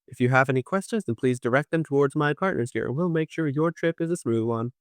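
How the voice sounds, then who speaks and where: A man's voice: medium pitch, fast, dry recording, clean studio sound, close to an everyday voice. A man voicing a formal, matter-of-fact young male train worker in an audio drama. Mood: formal, announcing.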